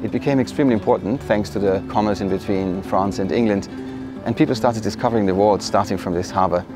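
A man in his forties from a German-speaking part of northern Italy, telling his story to the camera in a relaxed way. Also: fairly low pitch, fast. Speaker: vlog co-host. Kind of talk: casual talk to camera. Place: indoor room, later outdoors by a harbour. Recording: roomy sound with background music.

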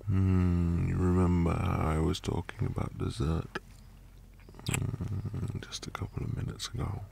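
Man acting as teasing dominant boyfriend, ASMR roleplay, low voice, heavy vocal fry, breathy murmurs, intimate hums. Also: flat delivery, measured pace, noisy background, heavily acted. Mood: playful, teasing. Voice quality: breathy, gravelly murmur often dropping to whisper. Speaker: audio roleplay performer.

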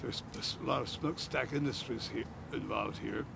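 An older man answers questions outdoors in a slow, halting, blunt manner. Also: medium pitch, gravelly. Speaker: interviewee, longtime observer. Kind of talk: outdoor street interview. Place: outdoors by a brick building. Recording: outdoor noise behind.

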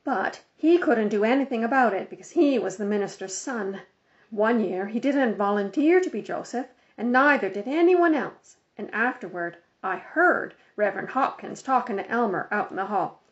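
Woman reading a kids book out loud, kinda high voice, expressive, bit muffled lo-fi sound. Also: normal speed. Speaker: audiobook reader. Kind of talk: expressive read-aloud storytelling of a children's book. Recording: slightly dull lo-fi recording.

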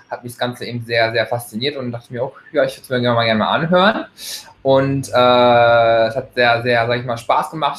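Man in his thirties, from Germany, hesitant with many fillers, medium pitch, laptop mic in an echoey room. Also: fairly bright tone. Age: 30s. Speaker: employee giving a testimonial. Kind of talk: casual recruitment testimonial. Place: bright room at home, indoors. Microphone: laptop webcam, video call.

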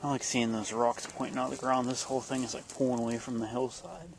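A man's voice: mid-range voice, steady tone, fast talker, vocal fry, background noise. A man giving casual vlog commentary while filming on a handheld camera outdoors on a wooded trail. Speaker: vlogger. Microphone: handheld camera, held by the speaker.

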